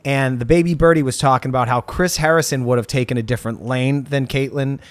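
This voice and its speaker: A man's voice: mid-range voice, fairly even intonation, fast. A man co-hosting a casual comedic TV recap podcast, talking in a blunt, wry way. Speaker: podcast co-host.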